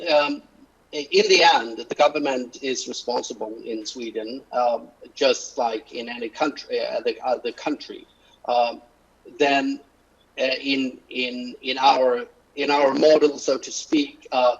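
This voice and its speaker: A man speaking haltingly as a panelist in an online webinar, with many fillers and restarts. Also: mid-range voice, husky. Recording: muffled online audio.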